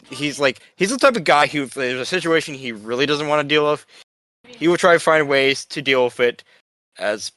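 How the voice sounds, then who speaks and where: A man's voice: medium pitch, sharp tone. A man chatting casually as a tabletop game player, rambling and circling back over his sentences.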